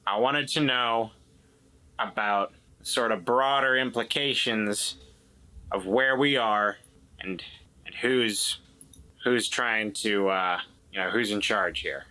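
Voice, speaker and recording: A man's voice: fairly low pitch, takes it slowly, long pauses, sharp tone, low-quality recording. A man playing a defensive, evasive and distrustful man in a tabletop drama, stammering and repeating himself as he explains. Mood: insistent, earnest.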